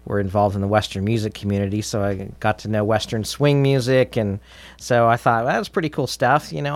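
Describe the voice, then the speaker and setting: A middle-aged man chatting casually as an interview guest, telling stories in a halting, easygoing way. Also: medium pitch, no echo. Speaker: interview guest, drummer in a band.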